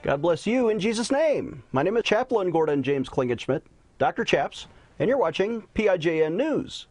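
Guy hosting a faith news show, a bit high-pitched, preachy formal delivery, dry audio. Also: sing-song, normal speed, darkish tone, no echo, soft background music. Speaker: talk show host.

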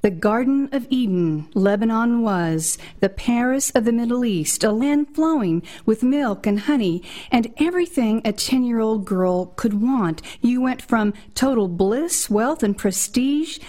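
A woman's voice: a little high, expressive, measured pace, hardly any pauses, dark tone. A woman hosting an interview show, giving a scripted introduction in a dramatic, emphatic way. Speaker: host.

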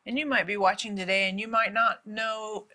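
A woman's voice: medium pitch, fairly even intonation, normal speed, bright, forceful tone. A woman co-hosting a faith talk show, upbeat as she welcomes the guest and invites viewers to call in.

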